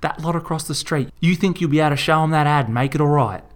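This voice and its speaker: A man voicing a bossy middle-aged woman shopkeeper with an Australian accent, shouting and swearing at her son. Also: a little high, quick pace, voice pushed up, close to an everyday voice. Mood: furious.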